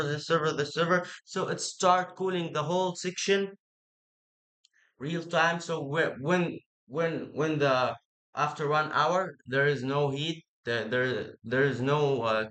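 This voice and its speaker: A man with a fairly high, bright, forceful voice and an Egyptian accent, his native language being Arabic. He explains things informally, like someone pitching an idea, in halting, repetitive second-language phrasing. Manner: halting, repetitive non-native English with rough grammar.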